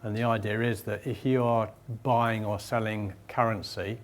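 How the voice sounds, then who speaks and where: Low-voiced man answering seminar questions. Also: little pitch movement, moderate pace. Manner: direct, plain-spoken, emphatic. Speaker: accountant presenting at a seminar. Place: seminar room.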